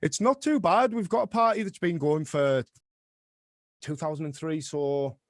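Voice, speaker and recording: A young man from Middlesbrough with a Teesside accent, a music producer chatting as a guest in an interview, rapid, self-mocking and quick to laugh. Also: a little high, dry recording, studio quality. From Middlesbrough, England. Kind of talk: casual interview chat.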